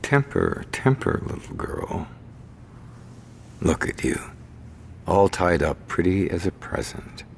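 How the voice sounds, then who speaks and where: A very deep, gravelly crime boss, scheming and contemptuous. He drawls slowly, pitch swinging wide as he toys with people. Every sarcastic line carries a quiet threat. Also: very animated, a little hiss or hum, full character voice. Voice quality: gravelly, mocking, slow menace. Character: sly, sneering male crime boss. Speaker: gang's scheming partner. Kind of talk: video game cutscene acting.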